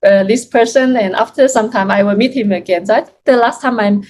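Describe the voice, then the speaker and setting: A young woman from Yunnan, China, a native Chinese speaker talking in English as an interview guest, halting and full of restarts, with frequent laughs. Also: medium pitch, darkish tone, a little hiss or hum. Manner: hesitant, many restarts and fillers, laughs often. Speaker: guest, freelance Chinese teacher. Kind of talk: casual interview chat.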